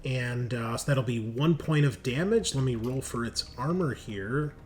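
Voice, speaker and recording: A man's voice: medium pitch, measured pace, slight background noise. A man running a tabletop game as game master, informal and sweary, thinking aloud as he goes. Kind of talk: casual tabletop game refereeing. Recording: voice over constant music. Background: music.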